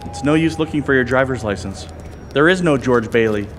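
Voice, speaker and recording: An actor playing a quaint, innocent, very old guardian angel with a gentle, flustered manner in a radio play. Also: male voice, medium pitch, lively intonation, brisk, heavy background noise, natural voice. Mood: earnest, knowing. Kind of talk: old-time radio drama acting. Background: music.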